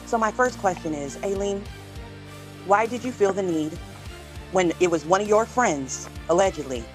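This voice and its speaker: A woman in a confrontational argument, speaking in an accusatory, insistent way. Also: medium pitch.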